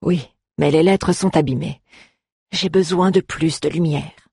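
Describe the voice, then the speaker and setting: A wise old male druid voiced by a storybook reader, thoughtful and measured. The voice is slightly husky and dry, weighing each word with scholarly care. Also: mid-range voice, fairly even intonation, darkish tone, no echo, exaggerated voice. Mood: deliberate.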